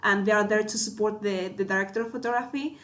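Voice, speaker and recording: A woman's voice: mid-range voice, fairly even intonation, fast, fairly bright tone. A woman with a Spanish accent, a cinematographer guest chatting casually, full of fillers and restarts. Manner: hesitant, many fillers and restarts. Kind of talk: casual panel chat.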